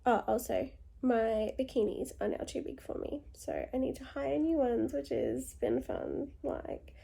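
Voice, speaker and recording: Croaky, hoarse young woman vlogging. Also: very animated, a little slow, dark tone. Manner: tired, low-key, upbeat despite illness. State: hoarse from illness; congested, chesty cough. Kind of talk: casual video diary.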